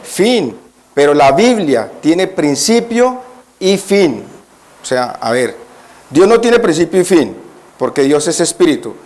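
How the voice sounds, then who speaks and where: A man's voice: on the high side, very animated, takes it slowly, a little mellow. A man preaching as a guest in a church, at first nervous, then emphatic, often putting questions to the congregation. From Colombia. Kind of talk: church sermon.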